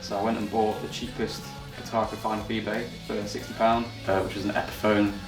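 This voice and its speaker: A man's voice: on the low side, level tone, a little fast. A twenty-year-old man, telling a story wryly in an interview. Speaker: band's lead guitarist. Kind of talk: documentary interview. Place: band practice room. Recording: echoey room, far from mic, music behind.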